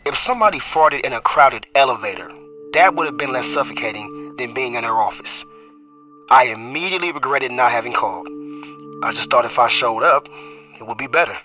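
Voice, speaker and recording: A man's voice: mid-range voice, animated, brisk, pushed and bright, faint music behind. An actor playing a young football running back who narrates his own story in a reflective, wry inner monologue for an audio drama. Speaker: lead character and narrator.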